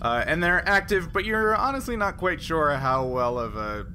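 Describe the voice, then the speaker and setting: A man's voice: on the high side, very animated, moderate pace, sharp tone. A man acting as game master, narrating a tabletop role-playing game in an informal, conversational way. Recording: faint background noise.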